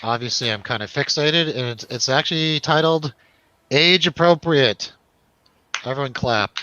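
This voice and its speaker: A man's voice: mid-range voice, moderate pace, bright, forceful tone, highs cut off. A man hosting a casual film review chat, rambling along with wry joking. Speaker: host of a film review show.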